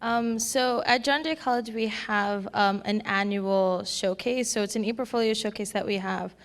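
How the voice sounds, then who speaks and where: A woman's voice: medium pitch, steady tone, normal speed. Earnest young woman in a hall. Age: young adult. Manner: earnest, hesitant with "um" fillers. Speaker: panelist, recent graduate. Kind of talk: casual panel talk. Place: conference hall at a college. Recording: hall reverb.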